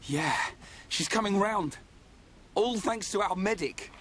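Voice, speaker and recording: A man's voice: high voice, expressive, slow, fairly bright tone, slight background noise, heavily acted. A man with a British accent playing a big, brash, good-natured warrior in a video game, casual and blunt. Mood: teasing. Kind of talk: video game cutscene acting.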